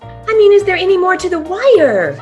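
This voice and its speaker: Woman as a very high-voiced, polite secretary. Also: very animated, moderate pace, highs cut off, music in the background. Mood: polite, businesslike. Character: polite, efficient young female secretary. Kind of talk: old-time radio acting.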